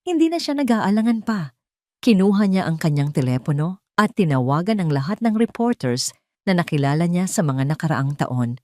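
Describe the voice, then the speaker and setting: A woman's voice: fairly low pitch, very animated, moderate pace, a little mellow, no echo, studio quality. A woman reading a scripted dramatic story as its narrator.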